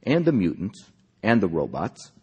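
A man's voice: low voice, wildly swooping pitch, normal speed, a little mellow, husky, heavily acted. A man voicing a stern, unflappable female schoolteacher with a prim, demanding manner. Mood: prim, unflustered.

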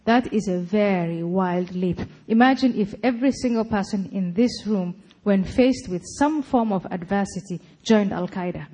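A woman giving a public talk, arguing her case forcefully. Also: medium pitch, moderate pace, few pauses, slightly rough. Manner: forceful, argumentative. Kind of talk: lecture and debate answering.

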